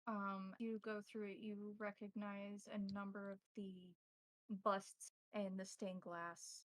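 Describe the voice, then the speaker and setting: A woman running a tabletop roleplaying game as game master, narrating in a measured, formal way with deliberate wording. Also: medium pitch, little pitch movement, a bit bright, no echo.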